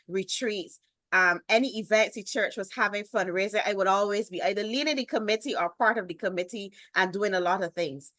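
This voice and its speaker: Expressive Barbadian woman, dry sound. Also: medium pitch, no echo. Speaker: guest, business lifestyle strategist. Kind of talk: interview, personal introduction.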